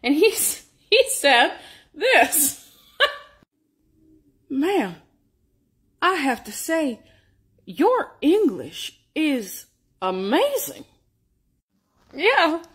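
A woman's voice: high-pitched, big pitch swings, drawn-out words, pauses often, a bit bright. A young woman from Canada tells an incredulous storytime into a phone at arm's length. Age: young adult. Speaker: TikTok storyteller. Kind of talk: storytime to camera.

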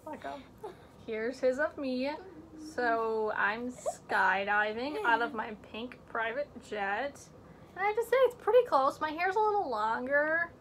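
Chatty high-voiced teen girl vlogger. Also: lively intonation, measured pace, slight background noise. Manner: chatty, joking, self-mocking asides; drops to hushed whispers while showing things up close. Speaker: vlog host. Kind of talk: casual chat. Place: indoor home room with shelves.

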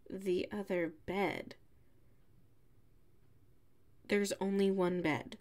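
A woman voicing a prickly, haughty young tsundere classmate with a hidden soft side, in an ASMR audio roleplay. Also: medium pitch. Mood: annoyed. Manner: haughty, huffy, sighing, drawn-out phrasing.

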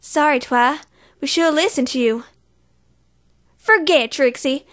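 A woman's voice: sits high, measured pace, fairly bright tone. A woman voicing an honest, hardworking female cartoon farm pony with a Southern US drawl and a plainspoken manner. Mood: folksy. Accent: Southern US (put-on). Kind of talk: cartoon voice acting reel.

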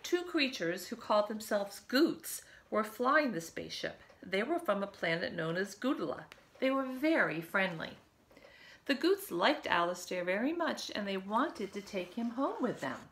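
A woman's voice: mid-range voice, sing-song, a little slow, a bit bright. A woman in her fifties reads a picture book aloud to young children, expressively, with chatty asides, recorded at home. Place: living room at home, by a window.

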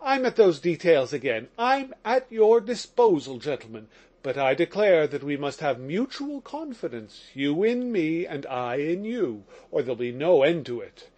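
A desperate, fervent young prisoner, read by a man, who pours out his heart in pleading exclamations. The voice climbs high and swings widely with emotion in this storybook reading. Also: very animated, normal speed, darkish tone. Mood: insistent. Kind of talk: audiobook character reading.

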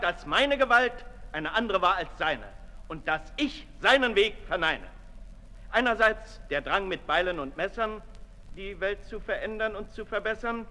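An actor in a 1960s radio play voicing a sceptical aristocratic intellectual in articulate theatrical verse. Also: male voice, fairly high pitch, pushed and bright, exaggerated voice. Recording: reverberant hall, 1960s radio recording.

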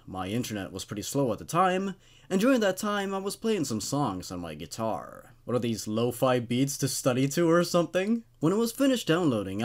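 A young man in his twenties narrates creepy stories into a studio microphone. His mid-pitched voice swings widely as he reads with drama, then drops into casual, wry commentary. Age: 20s. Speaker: host reading horror stories. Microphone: studio condenser microphone on a stand.

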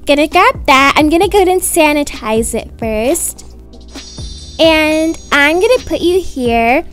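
A young woman acting out a children's roleplay story in a gentle, reassuring, sing-song way. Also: high voice, animated, a little slow, soft background music. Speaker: video creator voicing characters.

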